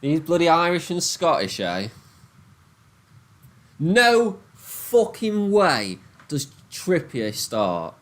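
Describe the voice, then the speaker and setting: A man with a Nottingham accent chatting live with viewers, swearing and ranting in a casual, animated way. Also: a little high, slow. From Nottingham, England. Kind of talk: casual live-stream chat.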